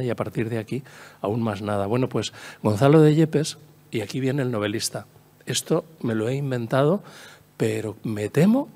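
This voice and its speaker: A man with a Castilian Spanish accent and a medium-pitched voice speaks as a conference lecturer on literature. He wanders into storytelling asides, repeats phrases for emphasis and often checks in with short tag questions. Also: a little mellow. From La Mancha, Spain.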